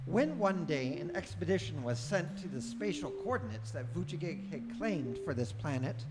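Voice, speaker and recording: A man playing a wry, erudite guidebook narrator with measured, deadpan delivery in a live radio play. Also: on the high side. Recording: reverberant hall with loud music underneath.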